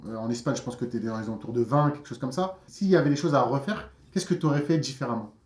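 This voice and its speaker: A man hosting a casual interview, quick and chatty with many fillers and restarts. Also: mid-range voice, a little mellow, reverberant. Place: outdoors in a neighbourhood. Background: friends chanting nearby.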